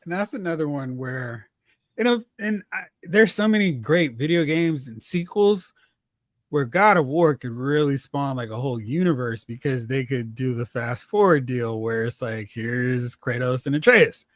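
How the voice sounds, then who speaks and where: A man hosting a casual panel chat in a slangy, animated way. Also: mid-range voice, normal speed, dry recording, studio quality. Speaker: podcast host. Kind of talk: casual panel chat about pop culture.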